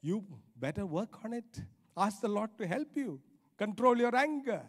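A middle-aged male preacher with an Indian accent delivers a sermon in a high voice. He is emphatic and repeats phrases to drive them home. His handheld microphone carries through a large, reverberant auditorium. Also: sing-song, moderate pace. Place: large church auditorium stage. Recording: reverberant hall sound.

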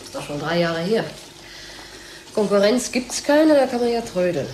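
A woman's voice: mid-range voice, sing-song, normal speed, close to an everyday voice. An actress playing a sarcastic, needling woman colleague at a drug firm, in a German radio drama. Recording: echoey room with clear background noise.

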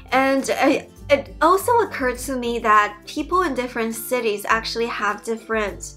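Bright-voiced woman, Mandarin accent. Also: fairly high pitch. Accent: Mandarin Chinese. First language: Mandarin Chinese. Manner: chatty, earnest, with frequent restarts. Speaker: YouTuber and PhD student. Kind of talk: casual Q&A vlog talk. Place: bedroom, indoors.

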